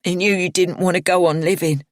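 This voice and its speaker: A woman's voice: lowish voice, fairly even intonation, dry recording, clean studio sound, natural voice. A woman voicing a bitter grieving young woman. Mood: accusing. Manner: slow, accusing drawl. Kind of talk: audiobook character reading.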